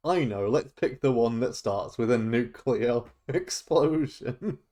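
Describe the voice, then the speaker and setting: A young man hosts a laid-back podcast, talking at an everyday middle pitch. He laughs often and trips over his words while he chats. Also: slow pace, dark tone. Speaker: podcast host. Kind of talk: casual chat.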